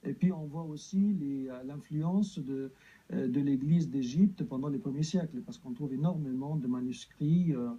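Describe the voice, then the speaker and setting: A man's voice: mid-range voice, normal speed, breathy edge, dull audio. A man from Egypt, a guest answering interview questions hesitantly, with many fillers and restarts.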